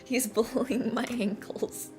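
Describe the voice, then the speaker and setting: A woman's voice: a little high, fairly even intonation, takes it slowly, darkish tone, soft background music. A young woman in her twenties whose first language is Finnish, chatting casually with her stream, laughing often and saying "like" and "bro" a lot. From Finland. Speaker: livestreamer.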